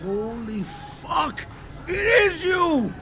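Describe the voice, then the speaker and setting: An actor playing an exuberant middle-aged New shop owner and stoner in an audio drama, with a husky, gravelly voice and effusive, slangy patter. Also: male voice, very high-pitched, sing-song, drawn-out words, pushed and bright, faint music behind, heavily acted. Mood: overjoyed. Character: exuberant, friendly neighborhood empanada-shop owner and stoner man. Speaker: actor in scripted fiction podcast.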